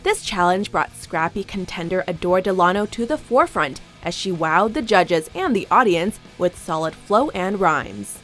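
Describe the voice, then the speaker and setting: A woman's voice: on the low side, animated, normal speed, hardly any pauses, fairly bright tone, soft background music. A woman reading a scripted voice-over for a countdown video, with an upbeat, punchy delivery.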